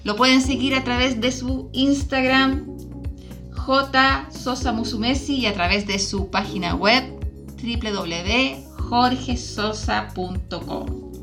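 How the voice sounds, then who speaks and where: A woman's voice: on the high side, slow pace. Chatty Chilean woman podcaster over music. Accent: Chilean Spanish. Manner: chatty, informal, prompts her guest with questions. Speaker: podcast host. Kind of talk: casual chat, travel podcast.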